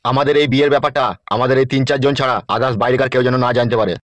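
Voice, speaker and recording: Cartoon politician, male actor, crude smooth talker, quick delivery, close dry sound, West Bengal Bengali accent. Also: medium pitch, steady tone, no echo, lightly acted. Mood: bossy, crude. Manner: crude, brash, smooth-talking. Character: scheming, lecherous, bossy male politician. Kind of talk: comedy cartoon voice acting.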